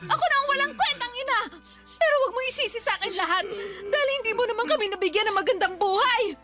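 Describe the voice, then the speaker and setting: A Filipino radio-drama mother who refuses all blame. Her voice is extremely high and shrill, wailing and defiant, over clearly audible music. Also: animated, quick pace, pushed and bright, heavily acted. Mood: resentful. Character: defiant, negligent mother, hysterically denying blame.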